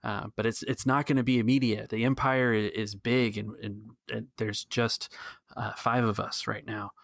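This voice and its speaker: A man playing a protective, cautious rebel organiser in a tabletop game, reassuring and measured but halting. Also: lowish voice, flat delivery, vocal fry, no echo, clean studio sound. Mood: earnest, planning.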